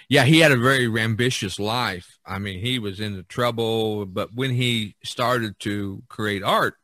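Bright-voiced man telling stories casually. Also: fairly low pitch, measured pace, no room sound, studio quality. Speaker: podcast host. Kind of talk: casual conversational storytelling.

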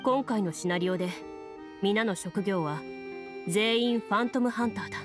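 Woman as stern-minded anime game duelist running a tabletop session: medium pitch, formal, composed, music bed. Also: normal speed, close to an everyday voice. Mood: composed, instructive. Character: composed, serious young woman duelist acting as game master. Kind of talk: Japanese anime game voice acting. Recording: studio voice with music underneath.

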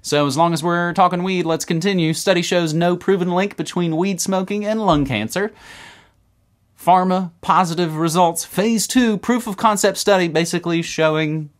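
A man in his thirties hosting a casual news roundup to camera from a room at home, animated and talking with his hands. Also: high-pitched, normal speed. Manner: animated, talks with his hands, upbeat asides. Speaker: podcast host. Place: home room with record shelves.

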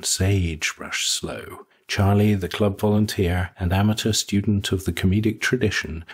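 A man narrating a scripted short story for an audiobook, with a husky, rough-edged voice. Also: deep voice.

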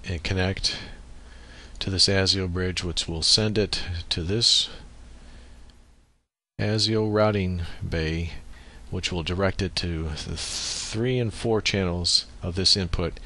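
A man giving a step-by-step software tutorial as screencast narration, speaking into a USB microphone. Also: sits low, steady tone, slow pace.

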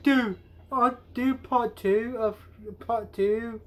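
A young adult man chatting casually on a video call, repeating himself in a halting way. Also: very high voice, fairly even intonation, fairly bright tone. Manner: repetitive, halting delivery. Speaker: amateur vlogger. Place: small room at home. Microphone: phone camera at arm's length.

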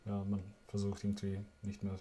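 A man's voice: fairly low pitch, completely flat delivery, brisk, warm tone, slight background noise. A young man interviewing a friend in a casual, reflective, conversational way, seated at a table indoors. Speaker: interviewer, vlog host. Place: small wood-panelled room indoors, at a table.

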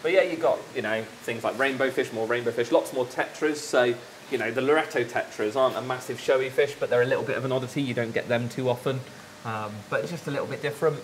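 A young man on staff at a fish shop, explaining his tanks in a relaxed way with lots of ums and yeahs, recorded in a large indoor shop. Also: medium pitch, quick pace, hardly any pauses, slight background noise, rough recording. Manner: relaxed, explains with fillers like um and yeah. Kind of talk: casual walkthrough chat.

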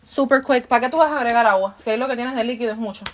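A woman's voice: fairly high pitch, rapid-fire, background noise. A woman, chatting casually as a live-stream host, thanking viewers and slipping into Spanish, a bit tired and out of breath. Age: 30s.

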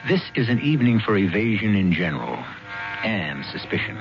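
Low-pitched theatrical male mystery host. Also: sing-song, brisk. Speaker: host and narrator. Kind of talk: scripted 1970s radio mystery narration. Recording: muffled 1970s radio broadcast. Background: loud music.

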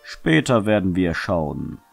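A man plays a seasoned knight with a low voice. He speaks formally and with measured, fatherly authority, as in a fantasy audio drama. Faint music plays underneath. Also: very animated, gravelly, heavily acted. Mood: earnest, measured. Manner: measured, formal, gently admonishing.

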